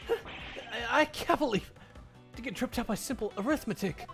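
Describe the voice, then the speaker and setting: A man voicing a smug, haughty rival prosecutor in a video game dub, theatrical and emphatic, quick to turn defensive. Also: very high voice, a bit bright, soft background music, voice pushed up. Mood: flustered. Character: smug, haughty male rival prosecutor, flustered when cornered.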